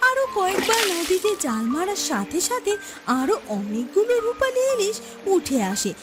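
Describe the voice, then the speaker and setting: A woman's voice: extremely high pitch, very animated, moderate pace, loud music behind the voice. A woman narrating a scripted cartoon story as its storyteller.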